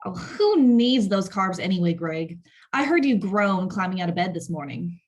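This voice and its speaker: A woman playing a bitter, jealous, sharp-tongued wife who is curt and scornful, in a screenplay table read. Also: on the low side, animated, moderate pace. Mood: dismissive.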